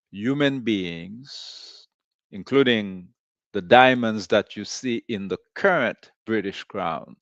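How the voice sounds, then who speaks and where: Slow-spoken deep-voiced man from Haiti. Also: no room sound, lo-fi sound. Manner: deliberate, with frequent "uh" and "you know" pauses. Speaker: host of a commentary show. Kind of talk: slow reflective commentary monologue.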